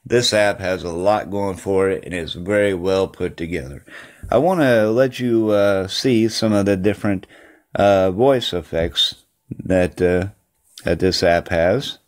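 A man giving a casual, unhurried review as a host, with halting and repetitive phrasing. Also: low-pitched. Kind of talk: casual app review voice-over.

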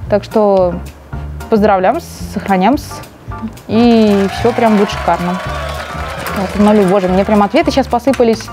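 A woman's voice: medium pitch, normal speed, long pauses, music in the background. A young woman co-hosting a live stream, chatting playfully and reading out giveaway winners. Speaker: stream co-host. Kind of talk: casual live stream chat.

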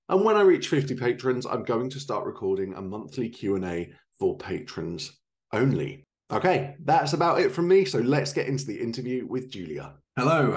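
Low-pitched man hosting a podcast. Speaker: podcast host. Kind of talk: chatty podcast presenting and interviewing. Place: living room at home.

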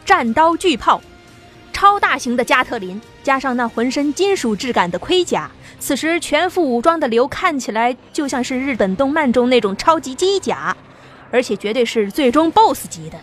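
A woman narrates an audio drama in a steady storytelling voice. Her pitch sits high. Faint music plays beneath her. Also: normal speed.

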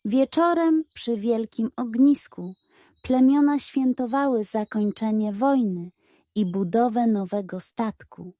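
A woman tells a children's bedtime tale at a slow, careful pace. Her voice is mellow and fairly high, recorded very dry and close. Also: dry recording. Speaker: storyteller. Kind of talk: scripted bedtime story narration for children.

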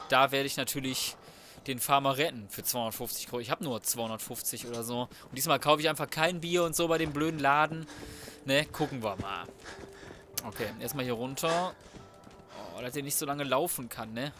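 A man's voice: mid-range voice, bright, forceful tone, slight background noise. A man giving casual, colloquial let's play commentary, swearing and reacting aloud as he plays. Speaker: gaming YouTuber. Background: video game sound and music.

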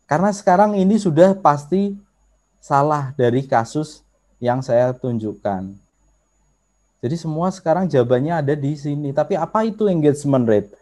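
A man with a Javanese accent gives an online workshop lecture over a video call. His voice sits a little high but has a mellow, dark tone. He explains step by step, turning often to address his listeners. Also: lively intonation, moderate pace. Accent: Javanese Indonesian. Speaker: workshop instructor.

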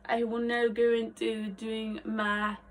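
High-pitched young woman chatting casually. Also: completely flat delivery, slow pace. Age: 20s. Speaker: aspiring actress. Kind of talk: casual vlog chat to camera. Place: small attic bedroom, indoors. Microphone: phone camera a short distance away.

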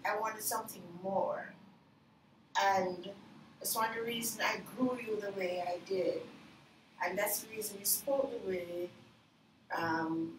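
An older Jamaican woman speaks in a measured, earnest way, explaining her choices at an unhurried pace. Her voice sits in a middle register and is picked up by a camera some distance away. The room is echoey, with a faint hiss underneath. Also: mid-range voice. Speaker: interviewee. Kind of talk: reflective personal storytelling. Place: sunlit room by glass doors. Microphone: far camera. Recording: roomy, far from the mic, faint noise.

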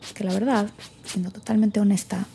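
A woman's voice: medium pitch, fairly even intonation, brisk, vocal fry, dark tone, slight background noise, lightly acted. A woman with a Mexican accent acting a resentful young woman who hesitantly and sarcastically talks to herself in an audio drama. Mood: bitter. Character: wry, resentful young woman recording her thoughts alone. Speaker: lead actor in audio drama. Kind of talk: naturalistic audio drama monologue.

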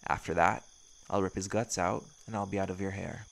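A brash werewolf hunter acted by a man with a low voice full of heavy fry. He talks bluntly and sardonically, always threatening, in an audio drama with faint background hiss. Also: fairly even intonation, brisk, fairly bright tone. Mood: cold, threatening. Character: brash, menacing male werewolf hunting his quarry. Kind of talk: scripted audio roleplay acting.

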